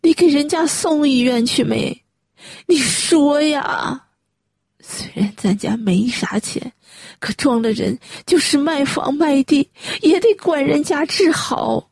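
A woman's voice: high-pitched, animated, a little mellow, dry recording, clean studio sound, heavily acted. A woman playing an anxious, tearful, upright widowed mother in a Chinese radio drama, sighing and pleading. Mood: urgent, upset. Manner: sighing, pleading, slow and breathy.

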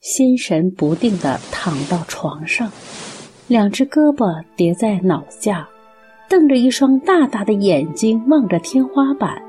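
A woman's voice: mid-range voice, very animated, measured pace, dark tone, faint music behind. A woman narrating a radio drama from a script as its storyteller.

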